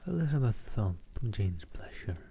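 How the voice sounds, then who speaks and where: A man from the Netherlands reading a novel aloud in a soft, hushed ASMR style on a close microphone. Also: on the low side, sing-song, normal speed, gravelly, slight background noise. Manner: soft, hushed, often whispered.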